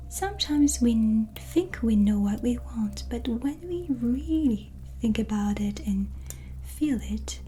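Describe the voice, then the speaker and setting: A woman whispers slowly and breathily in a mellow, soothing voice. She is a non-native English speaker playing a kindly witch in an ASMR tarot roleplay. Faint music plays behind her. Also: on the high side. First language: not English. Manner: gentle, unhurried, soothing. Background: soft relaxing music.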